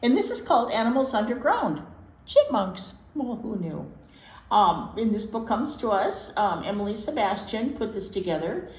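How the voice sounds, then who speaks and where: A woman's voice: medium pitch, sing-song, moderate pace. A woman presenting storytime for young children, reading aloud and adding folksy, chatty asides with words repeated for emphasis. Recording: echoey room with background noise.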